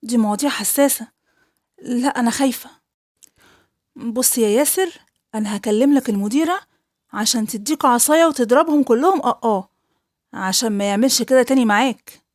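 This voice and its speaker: A woman's voice: on the high side, brisk, long pauses, a little mellow, dry recording, studio quality, close to an everyday voice. Woman voicing an anxious Egyptian schoolteacher. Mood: worried. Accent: Egyptian Arabic. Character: anxious female schoolteacher, torn and unsure.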